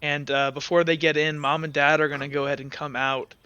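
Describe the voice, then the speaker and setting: A man's voice: fairly high pitch, little pitch movement, a little fast, sharp tone, clear, resonant tone, no echo. A man narrating a tabletop game as game master, rambling with many fillers and hedges. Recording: dull lo-fi audio.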